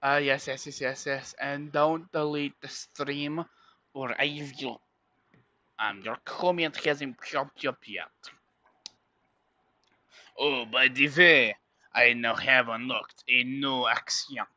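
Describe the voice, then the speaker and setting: Bright-voiced man rambling to his chat. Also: medium pitch, steady tone, slow pace, pauses often, dry recording. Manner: rambling, self-correcting, talks straight to chat. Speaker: streamer. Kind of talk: casual livestream chat.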